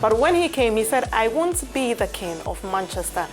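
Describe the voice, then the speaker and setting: A woman sports presenter delivering a live news segment with studio chat, pausing often as she goes. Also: fairly high pitch, over music. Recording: steady background noise.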